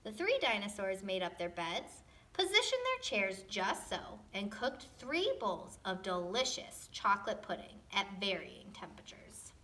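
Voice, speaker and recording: A woman reading a storybook aloud to children in an expressive, playful, tongue-in-cheek way. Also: medium pitch, measured pace, a little hiss or hum.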